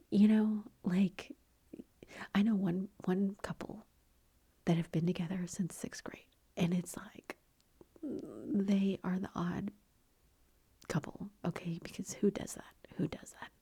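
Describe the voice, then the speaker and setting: A middle-aged woman talks to her ASMR viewers almost entirely in a soft, confiding whisper that wanders from thought to thought. Her voice is warm and mellow, with a heavily breathy, airy texture. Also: mid-range voice, fairly even intonation, slow pace, pauses often. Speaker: ASMR YouTuber.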